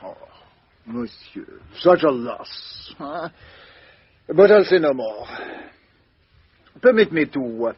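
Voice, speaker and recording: Polite sly french detective, high voice, super animated, old muffled radio play sound. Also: male voice, slow, pauses often, breathy edge, no echo, heavily acted. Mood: courteously wheedling. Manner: courteous, theatrical, precise phrasing. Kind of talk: radio drama acting. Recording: dull lo-fi radio drama recording.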